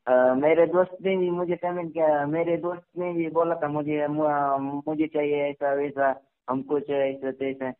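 A young man of about twenty speaks as a caller in a fairly high voice. He is halting and deferential, repeating words as he chats. His voice comes through a tinny, narrow telephone connection. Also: steady tone. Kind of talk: casual phone chat. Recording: tinny narrowband phone call recording.

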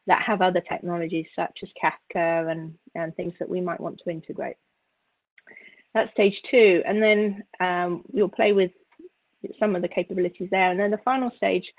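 A woman instructor giving an informal software tutorial, explaining step by step with halting, repeated words. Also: fairly low pitch, dry recording. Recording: narrow, phone-like call audio.

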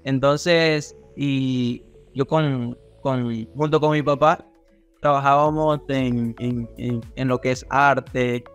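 A young man with a coastal Ecuadorian accent talks as a podcast guest. He has a medium-pitched voice and a casual, halting way of telling things, repeating words as he goes, with faint music in the background. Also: steady tone, clear, resonant tone. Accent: Ecuadorian coastal Spanish. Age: young adult. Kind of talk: casual interview storytelling. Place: small indoor studio room on a video call.

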